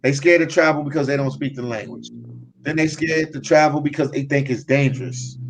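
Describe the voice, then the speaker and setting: A man's voice: a little high, fairly quick, low-quality recording. Laid-back man hosting a travel chat. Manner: reads viewer comments aloud, laughs at his own points. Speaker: host of a live travel chat. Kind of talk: casual live stream chat.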